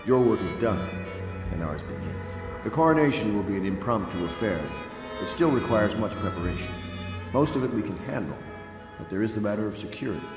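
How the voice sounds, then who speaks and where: Male actor as stern scheming leader, low voice, authoritative, formal, video game, reverberant hall. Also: expressive, a little fast, natural voice. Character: commanding middle-aged conspirator leader, stern and scheming. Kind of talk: video game character acting. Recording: echoey hall, music underneath. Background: music.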